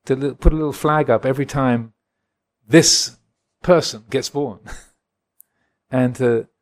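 A man in his sixties from England, a Buddhist monk giving a gentle, reflective dharma talk and answering questions at a retreat. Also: medium pitch, fairly even intonation, measured pace. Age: 60s. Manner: gentle, reflective, chuckles often.

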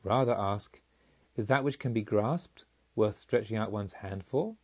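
A man voicing a serene, wise woman disciple of the Buddha in a calm, solemn, teaching manner for an audiobook. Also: on the low side, measured pace, husky, muffled recording, voice pushed down. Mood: gentle.

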